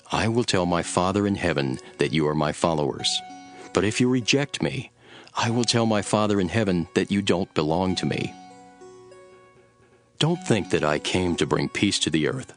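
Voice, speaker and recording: A man playing a grave, authoritative teacher instructing his followers in a dramatised scripture reading, with measured, solemn delivery. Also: low voice, expressive, faint music behind. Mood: stern, grave.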